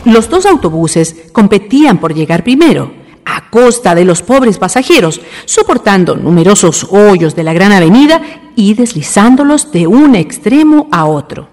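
Female narrator for radio drama, lively intonation, reverberant sound with music underneath. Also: mid-range voice, normal speed. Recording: long reverb, music behind.

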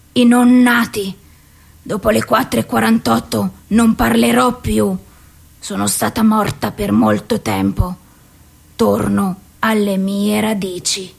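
An actress in a radio theatre monologue, playing a despairing, depressed woman who speaks slowly and intensely, bitter and raw. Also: fairly high pitch, creaky, breathy edge, full character voice. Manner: slow, intense, bitter delivery with heavy pauses.

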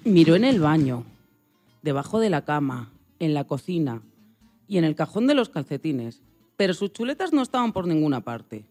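Animated children's story narrator over music. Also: mid-range voice, moderate pace. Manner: expressive storytelling.

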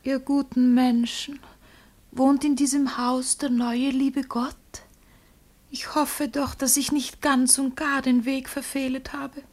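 A woman's voice: sits high, level tone, slow, darkish tone. An actress playing a vain, lovesick old woman in a theatrical, lamenting manner in a classical comedy radio play. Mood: pleading. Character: vain, lovesick old woman, jilted by her young lover.

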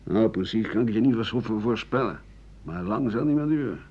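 A man playing a weary, embittered writer of 67 in a radio play, grumbling in halting phrases that trail into breathy murmurs. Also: on the low side, animated, lightly acted. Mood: gloomy, resigned. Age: older. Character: embittered, weary 67-year-old French writer and doctor, pessimistic. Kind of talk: radio play monologue as interview answers. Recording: dull, hissy 1980 radio recording.

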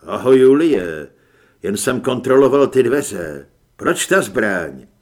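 Man acting a gruff, loud, sneering shapeshifter double. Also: mid-range voice, very animated, pitched below the performer's own voice. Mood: casual.

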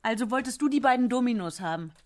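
An actress plays a provocative, jealous wife in a radio drama. Her voice is rather high, and she questions in a pointed, teasing way. There is faint noise with small clicks behind her. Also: animated, quick pace, close to an everyday voice. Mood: teasing, challenging. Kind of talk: radio play acting.

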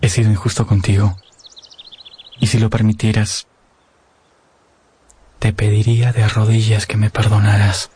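A man's voice: fairly low pitch, flat delivery, measured pace, long pauses, noisy background, close to an everyday voice. An actor playing an earnest, lovesick young man who narrates his romance in a radio drama. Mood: tender, pleading. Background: prominent music.

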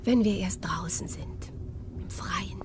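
An actress playing a young, level-headed female stowaway in a radio drama, speaking quietly and plainly. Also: on the low side, takes it slowly, in a whisper. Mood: hushed, hopeful. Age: young adult. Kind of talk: 1950s radio drama acting.

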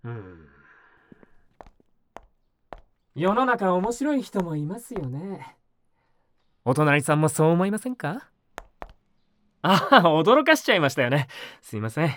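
A woman voicing a polite, mild-mannered young male neighbour in an audio drama, speaking softly and conversationally to the listener. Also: lowish voice, sing-song, a little slow, long pauses. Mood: sleepy, musing, then gentle, calm. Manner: polite, soft-spoken, conversational. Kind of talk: Japanese audio drama acting, spoken to the listener.

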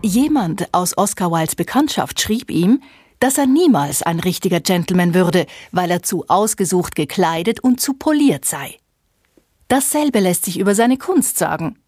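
A woman's voice: lowish voice, lively intonation, measured pace, a little mellow, husky, no echo, studio quality. A woman performing a scripted recitation of scornful literary quotations, composed and pointed. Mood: cool disdain. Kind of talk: scripted literary recitation, quote then author's name.

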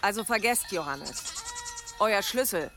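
An actress playing a defiant, sardonic village healer, mocking and sure of herself, in a radio drama. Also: female voice, mid-range voice, lively intonation, a little slow, music in the background.

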